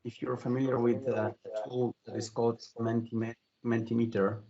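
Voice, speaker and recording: A man's voice: mid-range voice, little pitch movement, moderate pace, highs cut off, a crowd in the background. A man moderating a conference session, who explains things hesitantly and often repeats words while searching for the right phrase. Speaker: event moderator relaying audience questions. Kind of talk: conversational explanation.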